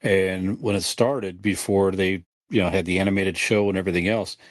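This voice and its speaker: Middle-aged male podcast co-host, fairly deep, conversational, dry close recording. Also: steady tone, normal speed, dry recording. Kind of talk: casual podcast chat.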